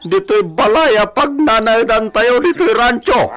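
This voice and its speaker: A sly and menacing bandit chief, drawls commands in an extremely high voice. He gloats and cackles in radio serial style, slow and deliberate. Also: lively intonation. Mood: scheming. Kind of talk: radio drama acting.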